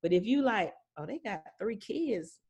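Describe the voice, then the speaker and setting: A woman with a medium-pitched voice speaks as a guest expert. She explains in an informal, conversational way, often illustrating her points with anecdotes and bits of quoted dialogue. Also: animated, normal speed, darkish tone, husky. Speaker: property manager, guest speaker.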